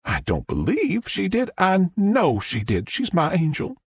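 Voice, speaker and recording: A man voicing an excitable middle-aged Southern mother who rambles through her story in a drawl. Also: medium pitch, normal speed, vocal fry, breathy edge, no echo, pitched above the performer's own voice, heavily acted. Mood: awed. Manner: rambling, breathless storytelling with restarts.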